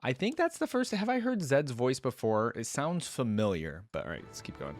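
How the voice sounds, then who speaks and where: A man's voice: mid-range voice, sing-song, moderate pace. A man hosting a reaction video, chatting casually and thinking aloud as he talks to his viewers. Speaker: host of a game voice-line reaction video.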